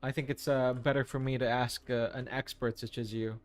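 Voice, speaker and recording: A man's voice: mid-range voice, little pitch movement, normal speed, soft background music. Man chatting with his stream viewers. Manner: reads and answers chat messages, friendly, hesitant restarts. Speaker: livestream host. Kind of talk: casual chat with viewers while painting.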